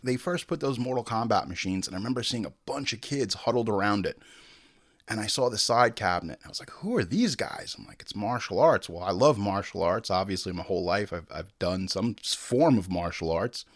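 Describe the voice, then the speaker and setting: A man's voice: lowish voice, expressive, fairly quick, no room sound, clean studio sound. A middle-aged American man from Long Island hosting a film podcast in a casual, joking, hyperbolic monologue with some swearing. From Long Island, New York, United States.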